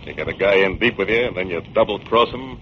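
A man's voice: deep voice, fast talker, close to an everyday voice. A man playing a tough, suspicious gangster, blunt and menacing, in a 1940s radio drama. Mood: menacing, distrustful. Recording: muffled, noisy 1940s radio recording.

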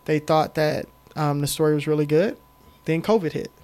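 A man, a film director telling his story as a guest in a casual interview, animated and full of ums. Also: fairly high pitch, moderate pace. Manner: animated storyteller, many ums, faith talk.

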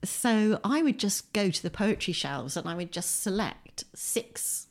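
A woman's voice: mid-range voice, animated, measured pace. A middle-aged English woman, a writer, chatting informally and enthusiastically as an interview guest at home. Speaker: poet and writer, podcast guest. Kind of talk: conversational interview. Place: at home, indoors.

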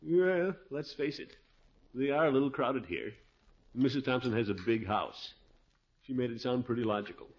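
A man's voice: mid-range voice, animated, moderate pace, natural voice. A man playing a blustery, scheming but good-natured middle-aged uncle in a 1950s radio comedy, exclamatory and hesitant. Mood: subdued. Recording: muffled, noisy old radio recording.

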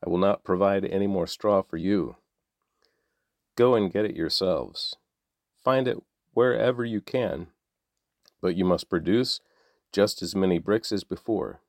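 A man's voice: low-pitched, a little slow, pauses often, darkish tone, dry recording, studio quality. A man voicing harsh male taskmasters who relay orders bluntly. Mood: commanding. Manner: blunt, commanding.

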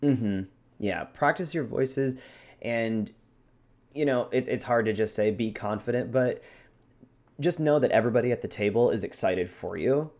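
A man's voice: mid-range voice, normal speed, darkish tone. A man hosting a show, explaining techniques in a casual, encouraging and instructional way. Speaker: show host giving role-playing tips.

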